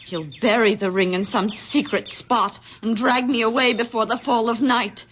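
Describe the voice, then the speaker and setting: Young frontier daughter, played by a woman, defiant and earnest, highish voice, dull old radio sound. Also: steady tone, normal speed, a bit bright, lightly acted. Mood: desperate pleading. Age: young adult. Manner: archaic, earnest, vowing speech. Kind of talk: old-time radio drama acting. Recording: dull, noisy vintage radio recording.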